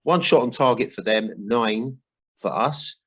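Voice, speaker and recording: A man's voice: mid-range voice, unhurried, a bit bright, breathy edge, muffled recording. A man hosting a football podcast in a chatty way with wry asides, reading out notes and emails. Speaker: podcast host.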